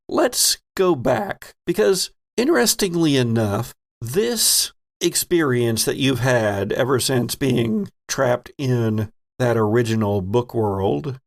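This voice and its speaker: A man hosting and narrating a horror role-playing game as game master, theatrical and deliberate, with playful dramatic emphasis. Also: medium pitch, creaky. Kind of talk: theatrical narration for an actual-play horror podcast.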